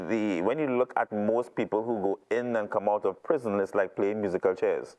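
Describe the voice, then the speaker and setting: A man's voice: lowish voice, lo-fi sound. A man with a Trinidadian accent hosting a conversational interview, thinking aloud as he builds up his questions. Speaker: interviewer, show host.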